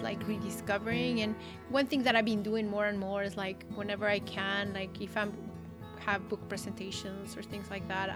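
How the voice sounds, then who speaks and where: A woman from Mexico speaks, a writer recounting her own story in an earnest, reflective way. She also recites a poem. Music plays loudly under her voice the whole time. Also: medium pitch. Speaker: writer and journalist. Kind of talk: personal storytelling and poetry reading.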